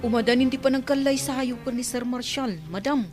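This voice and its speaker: A woman's voice: high-pitched, steady tone, brisk, over loud music, lightly acted. A woman with an Ilocano Filipino accent playing a cheeky, playful comic servant in a radio drama.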